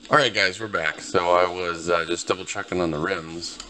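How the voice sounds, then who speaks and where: A man's voice: deep voice, fairly even intonation, normal speed, sharp tone, faint music behind. A tired, laid-back man giving casual, rambling gameplay commentary to his viewers as host of a gaming video. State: tired, just woke up.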